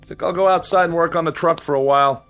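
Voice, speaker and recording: A man's voice: fairly high pitch, steady tone, fast talker, fairly bright tone, faint music behind, close to an everyday voice. An actor playing a lonely man devoted to his android companion, by turns yearning and defensive, in dramatic radio style. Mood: tender, eager. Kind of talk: dramatic radio acting with confessional narration.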